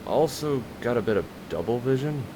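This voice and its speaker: An actor playing a nerdy, polite, lonely patient in a game, hesitant, subdued and weary. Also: male voice, medium pitch, animated, a little fast, background noise, natural voice.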